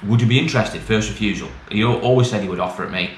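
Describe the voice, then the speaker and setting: A man's voice: on the low side, very fast. A middle-aged British man giving a casual, matter-of-fact, rambling update to camera in colloquial language, recorded in a small bare office. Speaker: used car dealer and vlogger. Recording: echoey small room, clear background noise.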